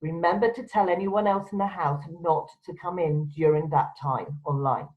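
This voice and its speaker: A woman's voice: lowish voice, normal speed. A woman presenter giving instructional advice to camera in a firm, direct, emphatic way. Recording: dull, lo-fi sound.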